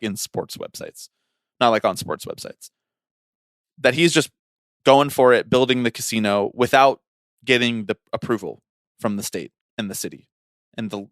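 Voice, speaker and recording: A man co-hosting a podcast, talking casually about sports in an animated, wry, conversational way. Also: lowish voice, no echo, studio quality. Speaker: podcast co-host.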